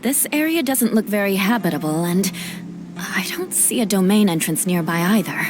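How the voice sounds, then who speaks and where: A woman's voice: mid-range voice, expressive, normal speed, background noise, natural voice. A woman playing a proud, dutiful noblewoman knight in a video game, formal and composed, with a touch of haughtiness. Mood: guarded. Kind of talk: animated game cutscene acting.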